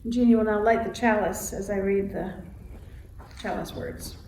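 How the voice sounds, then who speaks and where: A woman reading a scripted church welcome and liturgy in a measured, solemn way as worship leader. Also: medium pitch, fairly even intonation, darkish tone. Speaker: congregation president and guest worship leader. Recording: roomy, distant mic with faint noise.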